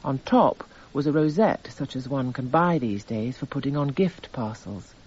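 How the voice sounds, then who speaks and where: An impassive narrator reading exhibits in a radio courtroom drama. His voice is mellow and husky, the delivery measured and detached. The recording is slightly dull. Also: medium pitch, animated. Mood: detached, factual. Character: impassive male narrator itemising court evidence. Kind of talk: scripted documentary-drama narration, reading evidence aloud.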